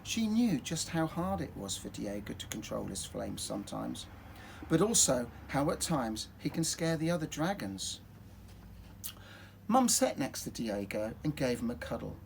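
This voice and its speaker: A man reading a children's story aloud in an expressive, dramatic storytelling manner. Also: medium pitch, a little slow, vocal fry, a little hiss or hum, low-quality recording.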